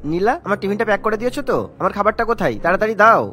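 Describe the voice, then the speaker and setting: Man as an irritated husband, rapid-fire delivery. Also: high-pitched, sharp tone. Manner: bossy, insistent. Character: young office-going husband, irritated and firm. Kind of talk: Bengali cartoon voice acting. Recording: music behind the voice.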